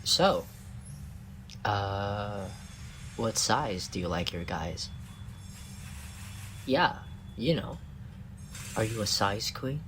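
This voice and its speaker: A man's voice: on the low side, animated, very slow, long pauses, a bit bright, slight background noise, heavily acted. A man playing a cocky, bumbling little scientist. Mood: crude, flirtatious. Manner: flustered, stammering, smug. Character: tiny male scientist Carlyle, cocky, bumbling, crude flirt. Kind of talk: scripted comedic audio roleplay.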